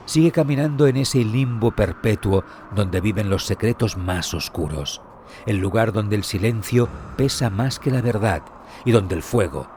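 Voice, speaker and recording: A man narrating a scripted mystery story in a solemn, dramatic manner with deliberate pauses and a husky voice. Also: lowish voice, a little mellow, a little hiss or hum. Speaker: podcast narrator. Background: background music.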